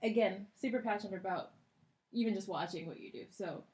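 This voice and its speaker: A woman's voice: mid-range voice, fairly quick, darkish tone. A woman co-hosting a podcast, chatting casually and rambling with lots of "like" and "you know.". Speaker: podcast co-host. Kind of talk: casual chat.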